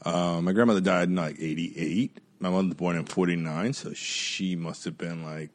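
A man in his forties who grew up in Queens, telling profane, animated stories in a casual chat and laughing often. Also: low voice, normal speed. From Jamaica, Queens, New York.

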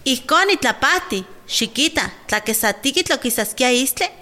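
A woman's voice: sits high, expressive, normal speed, sharp tone. An actress playing a village woman talking with her doctor in a scripted radio drama. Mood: earnest.

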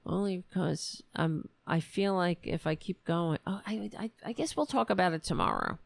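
A woman hosting a solo political commentary show, ranting angrily with profanity while her voice is going hoarse. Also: fairly low pitch, moderate pace, no room sound. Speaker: host.

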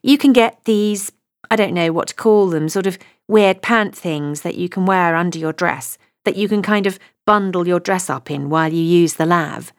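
A woman's voice: lowish voice, normal speed, clean tone, no room sound, studio quality, natural voice. A woman voicing a snobbish, gushing young bride, a little spoilt and breathless. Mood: chatty.

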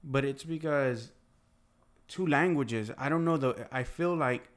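A man whose first language is Spanish, chatting casually with friends, rambling with halting restarts and casual swearing. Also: mid-range voice. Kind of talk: casual chat.

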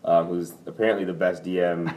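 Young guy with a real low baritone, rambles w lots of "you know" and um, mic sits kinda far off. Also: level tone, brisk, darkish tone, slight background noise. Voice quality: deep baritone voice. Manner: rambling, many fillers and "you know", laughs easily. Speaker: lounge owner, guest. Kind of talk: casual podcast interview.

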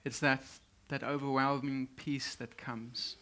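Slow man preaching in short phrases. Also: medium pitch, flat delivery, a bit bright. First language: Afrikaans. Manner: speaks in short phrases, pausing for translation. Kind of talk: sermon with live interpreter.

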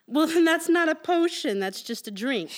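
A woman playing an excitable, skeptical companion in a comedy sketch. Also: high voice, normal speed.

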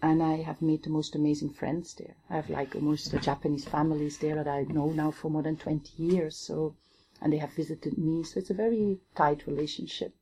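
A Flemish woman in her forties, an academic guest talking thoughtfully in an interview, often pausing to search for words. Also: sits low, fairly even intonation, mellow, a little hiss or hum, rough recording. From Belgium (Limburg, Flanders). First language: Flemish Dutch. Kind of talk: reflective conversational interview.

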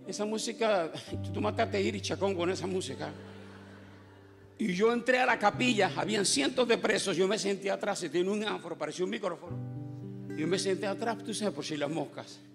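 A man's voice: sits high, a little fast, creaky. A middle-aged man, preaching a joking testimony sermon and mixing in English, over guitar music. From Puerto Rico. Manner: joking, storytelling, mixes in English. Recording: guitar music playing behind.

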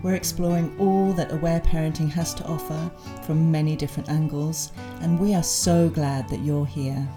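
A woman's voice: low voice, fairly even intonation, moderate pace, few pauses, over music. Cheerful woman co-hosting a podcast. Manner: cheerful, laughs often. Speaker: podcast co-host. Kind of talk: casual chat.

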